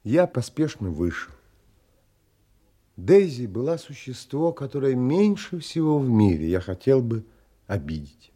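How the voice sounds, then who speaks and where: An actor playing an earnest, romantic adventurer who tells his own story in a measured, dramatic audio drama. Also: mid-range voice, sing-song, mellow, husky. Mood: brooding. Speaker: actor and narrator. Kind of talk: audio drama with first-person narration.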